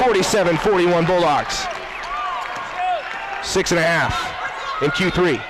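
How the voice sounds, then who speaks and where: A young man calling live basketball play-by-play in clipped running phrases, raising his voice for key plays. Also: a little high. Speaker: play-by-play announcer. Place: courtside in a basketball gym. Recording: noisy gym with loud crowd behind. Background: crowd, bouncing basketballs.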